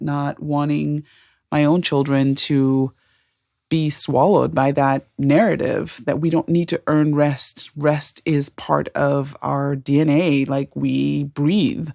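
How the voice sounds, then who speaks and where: A middle-aged woman from Zimbabwe co-hosting a podcast, sharing personal stories in a calm, thoughtful and earnest way. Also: low voice, creaky, no echo, studio quality. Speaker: podcast co-host. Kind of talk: reflective conversational storytelling.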